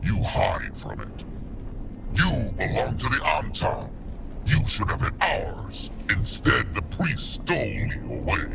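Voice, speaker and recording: Menacing fantasy game warlord: slow, gravelly, pompous and swooping, under loud music. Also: male voice, lowish voice, wildly swooping pitch, full character voice. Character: menacing, grandiose male warlord calling himself Dragon King. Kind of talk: dramatic fantasy video game acting.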